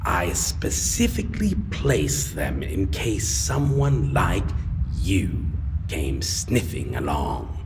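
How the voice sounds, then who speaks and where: Slow, gravelly, menacing vampire. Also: male voice, sing-song, noisy background, exaggerated voice. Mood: mocking menace. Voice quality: gravelly, low. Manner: slow, deliberate, mocking, drifting into breathy whispers. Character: taunting, menacing male vampire, a lost love turned monster. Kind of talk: dark fantasy audio roleplay, slow theatrical monologue.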